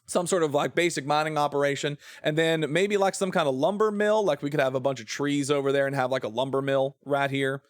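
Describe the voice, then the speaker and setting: A man with an American accent and a slightly high voice talks casually as a game streamer. He rambles and thinks aloud as he goes, recorded close with very little room sound. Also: fairly even intonation, a little fast, hardly any pauses, dry recording. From southern-adjacent United States. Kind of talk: casual livestream chat while playing a building game.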